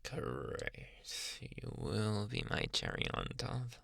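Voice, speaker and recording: Low gravelly male voice, slipping into whispers, possessive anime psychiatrist acted by a man, slow coaxing, softly menacing, ASMR roleplay. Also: sing-song, no room sound, clean studio sound, exaggerated voice. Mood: sly, possessive. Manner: slow, hushed, deliberate coaxing.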